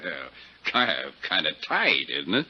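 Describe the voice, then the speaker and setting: An actor playing a folksy, easygoing older small-town Western sheriff in a 1950s radio drama. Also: male voice, very deep voice, huge pitch swings, unhurried, husky, full character voice. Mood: wry, easygoing. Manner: folksy, drawling out hesitations. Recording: dull 1950s radio recording.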